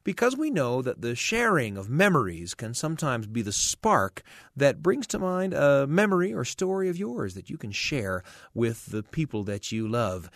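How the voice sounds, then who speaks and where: A man hosting a radio storytelling show, narrating a personal tale in a measured, expressive way with deliberate pauses. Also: a little high, no room sound, studio quality.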